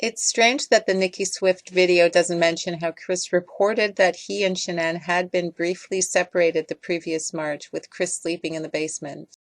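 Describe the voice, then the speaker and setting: A woman's voice: on the low side, fairly even intonation, moderate pace, hardly any pauses, no room sound. A woman reading scripted commentary as a voice-over. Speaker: video creator doing voice-over commentary.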